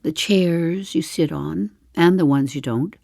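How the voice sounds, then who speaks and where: Casting: woman of about 75, darkly comic audio play. Dry wit, unhurried confiding delivery, studio-dry sound. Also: low voice, vocal fry, mellow, no echo, exaggerated voice. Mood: dry, rueful. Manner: deliberate, confiding, with dry understatement. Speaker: actor in a one-woman play. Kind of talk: theatrical audio drama monologue.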